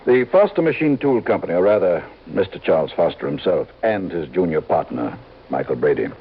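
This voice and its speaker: A man's voice: deep voice, lively intonation, a little fast, slight background noise. A man acting a fussy, stingy, anxious insurance executive in a mid-century radio drama, prim and insistent. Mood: worried. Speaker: supporting actor in a radio drama. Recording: tinny, band-limited old radio recording.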